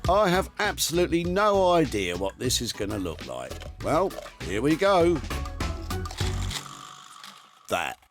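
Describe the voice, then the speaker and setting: A man's voice: fairly high pitch, wildly swooping pitch, measured pace, pauses often. A man reading a scripted voice-over for a TV show, with wry, teasing asides. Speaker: narrator of a TV upcycling show. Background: music.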